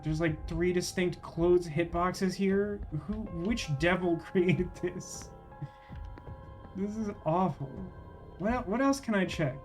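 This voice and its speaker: A man's voice: high voice, a little mellow. A man doing casual livestream game commentary, thinking aloud in a wry, rambling way as he chats with viewers.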